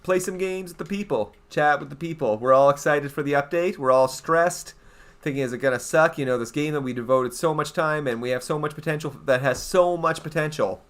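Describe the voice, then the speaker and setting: A man's voice: fairly high pitch, brisk, fairly bright tone. Chatty Canadian man streaming games. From eastern Canada. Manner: chatty, rambling, reads out and answers viewer chat. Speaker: game streamer. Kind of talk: casual livestream chat.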